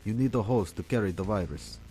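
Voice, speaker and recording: Smug, mellow low-voiced villain played by a man. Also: soft background music, natural voice, low-quality recording. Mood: taunting. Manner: measured, lecturing, taunting. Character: smug, calculating male villain plotting with viral weapons. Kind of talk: radio drama acting.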